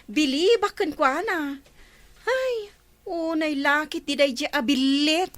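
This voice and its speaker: A woman's voice: high-pitched, slow, fairly bright tone. A woman playing a chatty, admiring village woman in an Ilocano radio comedy, with an Ilocano accent. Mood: gushing relief.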